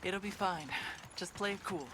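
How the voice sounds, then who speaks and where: Woman acting a cool hunter amid loud noise. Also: mid-range voice, moderate pace, lightly acted. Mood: calm, reassuring. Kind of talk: video game voice acting. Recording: game audio with loud background noise.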